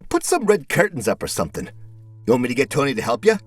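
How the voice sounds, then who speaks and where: A man voicing a chatty, nosy, eccentric male neighbor in an audio story, with a folksy, rambling, excitable way of talking. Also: medium pitch, quick pace, dry recording, soft background music, pitched above the performer's own voice. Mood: eager, friendly.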